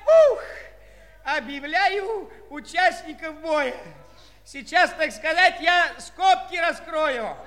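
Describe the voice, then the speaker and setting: An actor playing a boisterous fairy-tale tournament herald who declaims in verse in a theatrical, drawn-out way for a children's audio play. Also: male voice, very high voice, expressive, a bit bright, a little hiss or hum, heavily acted. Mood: excited announcing. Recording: 1960s studio play with music behind.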